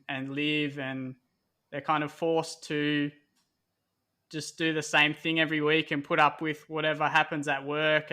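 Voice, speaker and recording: An Australian man in his thirties tells his story as a podcast guest. His voice is slightly high, very even in pitch and bright in tone. He talks in a loose, unhurried way, with fillers and false starts. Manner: relaxed, rambling, many fillers and restarts. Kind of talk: casual interview answers.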